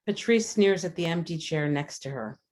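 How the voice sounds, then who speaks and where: A woman's voice: sits low, expressive, normal speed, darkish tone. A woman reading screenplay stage directions as scripted narration, matter-of-fact in delivery. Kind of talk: scripted narration, table read.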